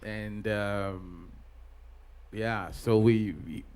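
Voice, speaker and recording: Halting middle-aged man from Ghana. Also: fairly low pitch, fairly even intonation, a little mellow, smooth. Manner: halting, many pauses and restarts. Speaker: pastor and guest. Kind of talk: interview answers.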